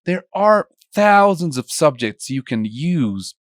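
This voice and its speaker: A man's voice: a little high, very animated, takes it slowly, no room sound, clean studio sound. A young man from Colombia teaching an online songwriting lesson, chatty and full of questions for the listener. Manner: conversational, asks students many questions. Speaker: songwriting instructor.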